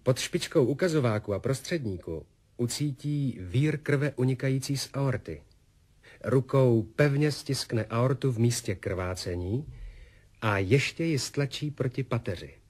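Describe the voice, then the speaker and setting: An actor playing a medical narrator who reports on surgery in a formal, measured, clinical way in a 1970s radio drama. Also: male voice, medium pitch, expressive, darkish tone, husky. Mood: clinical, grave. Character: male medical narrator reporting a surgical operation. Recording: music under the voice.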